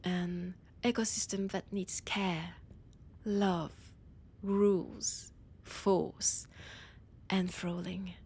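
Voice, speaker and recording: A woman playing a condescending, teasing vampire scholar in an audio roleplay, with a French accent and a breathy, husky voice that often drops to a whisper. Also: fairly low pitch, steady tone, very slow, darkish tone, slight background noise. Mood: cryptic, teasing. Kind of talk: scripted audio roleplay addressed to the listener.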